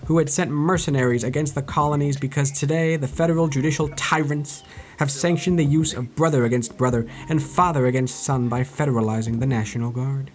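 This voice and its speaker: A man from New Jersey reading an old political speech aloud and breaking into sarcastic, profane commentary. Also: a little high. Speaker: YouTube history commentator.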